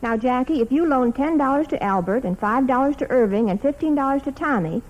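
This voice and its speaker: A prim schoolteacher in a vintage radio comedy. She is brisk and formal, with a fairly high voice and fast pace. The sound is muffled old radio. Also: expressive.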